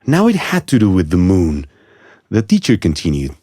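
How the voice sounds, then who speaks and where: A man's voice: low-pitched, huge pitch swings, moderate pace, warm tone, dry recording, clean studio sound. A man reading a scripted children's audiobook story as narrator.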